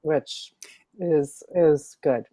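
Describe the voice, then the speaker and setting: A woman from Illinois, an opera singer, chatting as an interview guest over a video call, thoughtful with many fillers and soft laughs. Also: sits low, drawn-out words. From Illinois, USA. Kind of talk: casual interview chat.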